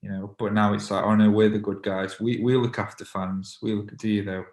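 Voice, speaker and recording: A man in his thirties answers interview questions about football in a plain, measured way. His voice sits low and stays flat in pitch. The room around him is echoey. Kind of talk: documentary interview.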